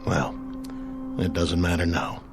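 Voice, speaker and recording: A man's voice: deep voice, fairly even intonation, gravelly, over music, full character voice. An actor playing a desperate, guilty, self-serving crewman who can turn coldly murderous, in an old time radio drama. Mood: subdued, cold. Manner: defensive, halting, pleading.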